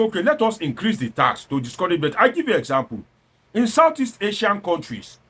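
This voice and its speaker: A Nigerian man giving informal commentary in an animated way, explaining with examples and rhetorical questions and slipping into Pidgin. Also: a little high, slightly rough. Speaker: political commentator.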